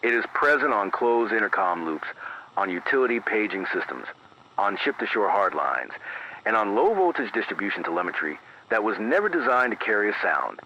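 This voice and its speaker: Man acting as low, muffled emergency radio announcer. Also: very animated, a little hiss or hum. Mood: weary, solemn. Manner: measured, formal, deliberate phrasing. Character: careful, weary male emergency radio announcer on a night shift. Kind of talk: scripted emergency bulletin, analog horror radio drama.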